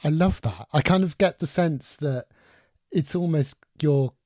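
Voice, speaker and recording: A man's voice: fairly high pitch, a little slow, warm tone, dry recording, clean studio sound. A man hosting an interview, asking questions in an upbeat, encouraging way. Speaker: interviewer host.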